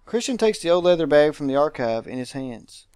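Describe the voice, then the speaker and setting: A man's voice: a little high, sing-song, fairly quick, darkish tone, smooth, dry recording, clean studio sound. A man hosting a let's play, narrating and commenting casually with playful, wry asides.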